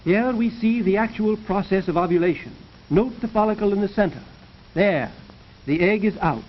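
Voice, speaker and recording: Male narrator, high-pitched, formal and measured, 1930s instructional film style, muffled vintage soundtrack. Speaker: narrator of an educational science film. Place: recorded voice-over for a film.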